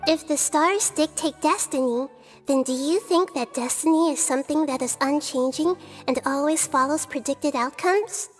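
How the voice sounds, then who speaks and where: A gentle little girl with an old soul played by a woman talks in a very high voice. She is calm, knowing and articulate, as in fantasy game dialogue over faint music. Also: moderate pace, close to an everyday voice. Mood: thoughtful. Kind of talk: video game character acting.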